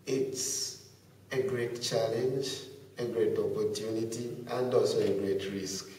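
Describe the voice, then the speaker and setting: A teenage boy from Zambia answering interview questions in a thoughtful, soft-spoken way. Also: fairly low pitch, fairly even intonation, slow, a little hiss or hum. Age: teens. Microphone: far camera. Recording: echoey room from far off.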